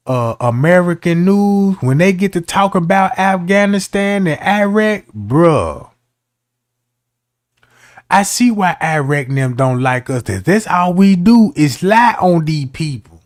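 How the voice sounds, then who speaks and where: A man's voice: high voice, animated, takes it slowly, no echo, clean studio sound. A man giving casual commentary as a streamer, talking in a slangy, argumentative way.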